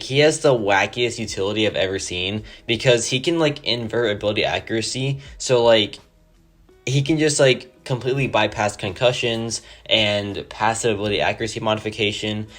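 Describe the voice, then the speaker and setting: A man with a hoarse, sore-throated voice giving casual, enthusiastic gameplay commentary over a home mic. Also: fairly low pitch, fairly bright tone. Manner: enthusiastic, rambling, many "like" fillers. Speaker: mobile game player.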